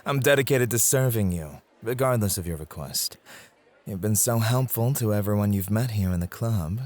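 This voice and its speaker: A man playing a devoted, quietly possessive club host in an ASMR roleplay, speaking in polite, formal, flowery phrases. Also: lowish voice, moderate pace, lightly acted. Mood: courteous, devoted.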